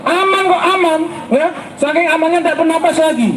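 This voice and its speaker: Brief: middle-aged male preacher, very high voice, playful quizzing style, echoey noisy hall. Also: fairly quick, a loud crowd. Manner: joking, quizzes the audience. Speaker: ustaz. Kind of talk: humorous sermon to school students. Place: school event hall. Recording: echoey hall, background noise and traffic.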